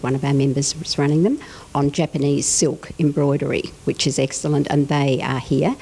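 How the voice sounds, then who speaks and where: A woman's voice: low-pitched, gravelly, mellow, husky, poor audio. A woman giving informative interview answers as a representative of a craft group.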